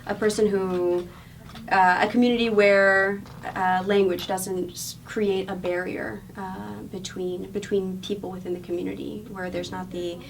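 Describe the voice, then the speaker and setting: A woman with a non-local accent answers in a steady, explanatory way, circling back on her own words as she thinks. Her voice sits at an ordinary pitch for a woman. It is picked up from some distance, with a faint noise floor. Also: measured pace, gravelly. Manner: earnest, explanatory, repeats words while thinking. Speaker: guest. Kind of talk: radio interview answers.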